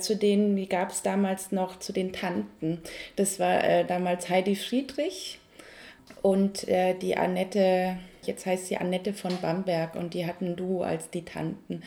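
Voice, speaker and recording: A woman's voice: mid-range voice, fairly even intonation, unhurried, gravelly, mellow. A woman in her thirties from Franconia with a Franconian accent, a cabaret artist answering interview questions in a thoughtful, hesitant way with many fillers and restarts. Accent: Franconian German. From Franconia, Germany.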